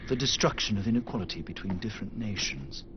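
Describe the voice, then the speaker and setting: An actor playing a reflective letter writer in a video game, speaking in a solemn, deliberate, literary manner. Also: male voice, fairly low pitch, lively intonation, lightly acted. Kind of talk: scripted video game narration. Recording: dull game audio with clear background noise.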